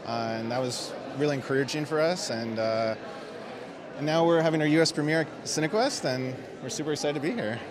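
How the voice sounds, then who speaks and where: A man from Vancouver, a filmmaker, talking casually and a little haltingly in a festival interview. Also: a little high. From Vancouver, Canada. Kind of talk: casual red carpet interview. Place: film festival red carpet. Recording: crowd and street noise behind.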